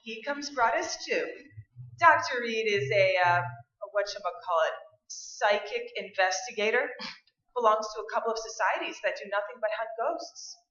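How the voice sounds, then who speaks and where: Woman acting as chatty pushy realtor, highish bright voice, radio play on an echoey stage. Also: animated, normal speed. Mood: breezy reassurance. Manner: persuasive, breezy salesperson patter. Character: eager, talkative real estate agent soothing a client. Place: live stage performance. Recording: dull, echoey live stage recording.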